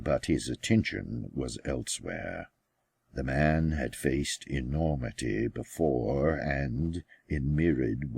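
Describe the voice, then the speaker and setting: Man, extremely low pitch, heavy vocal fry, measured storytelling, deliberate pauses, scripted audiobook narration. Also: darkish tone, no room sound. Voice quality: gravelly, husky. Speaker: author reading own book.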